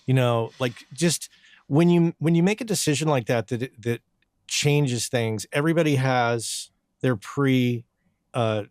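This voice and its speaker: A man's voice: mid-range voice, a little slow, a little mellow, clear, resonant tone, dry recording, studio quality. A man from southern New Mexico hosting a podcast interview, friendly and conversational, often repeating his words as he goes. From southern New Mexico, USA. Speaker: podcast host. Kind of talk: casual interview chat.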